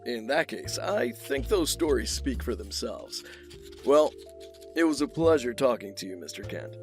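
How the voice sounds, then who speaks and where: An actor playing a wealthy, guarded, dry-witted man in an audio drama, speaking in terse, measured lines. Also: mid-range voice, big pitch swings, faint music behind. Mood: probing, courteous.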